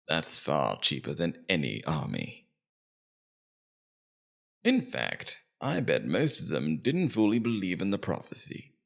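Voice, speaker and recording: A man playing a scheming, immortal Demon King in an ASMR roleplay, taunting and persuasive, with theatrical, deliberate emphasis. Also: deep voice, vocal fry, heavily acted. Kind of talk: ASMR roleplay, scripted villain monologue.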